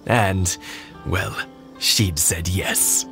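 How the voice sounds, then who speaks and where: Game role: kindly elderly man, low register, gentle and reminiscing, good-humoured, clear music bed. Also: fairly even intonation, drawn-out words, full character voice. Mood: fond, nostalgic. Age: older. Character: kindly old husband, wistful and good-humoured. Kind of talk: English video game voice acting.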